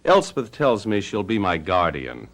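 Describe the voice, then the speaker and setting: An actor playing a charming, scheming husband in a theatrical, sweet-talking way in a radio drama. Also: male voice, low voice, huge pitch swings, a little slow, exaggerated voice. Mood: teasing, coaxing. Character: charming, scheming husband, smooth and coldly calculating.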